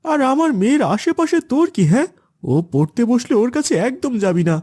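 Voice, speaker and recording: A man's voice: extremely high pitch, sing-song, brisk, darkish tone, exaggerated voice. A man voicing a stern, lecturing father figure in a children's cartoon. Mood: gruff, irritated. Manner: lecturing, admonishing. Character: stern preachy father, later gruff scolding gambler uncle. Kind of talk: Islamic children's cartoon dubbing.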